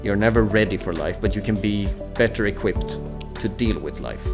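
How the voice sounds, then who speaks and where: A Swedish man in his thirties, a native Swedish speaker, talking in English as a podcast guest. He speaks in a thoughtful, measured way, with frequent hesitant fillers. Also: on the low side, music in the background. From Sweden. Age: 30s. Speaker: national team table tennis coach, podcast guest. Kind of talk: reflective casual conversation.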